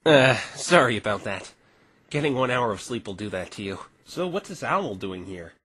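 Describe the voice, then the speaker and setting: Man acting young male lawyer, visual novel dub, earnest, expressive, incredulous, very animated intonation, flustered. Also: mid-range voice, normal speed, natural voice. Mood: casual, curious.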